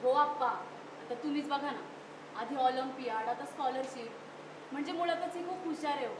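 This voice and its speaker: A woman's voice: sits high, normal speed, natural voice. A woman playing a polite, assured modern city mother in a stage play, slipping English words into her Marathi. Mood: persuasive. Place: theatre stage at a youth festival. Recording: echoey stage, noisy hall.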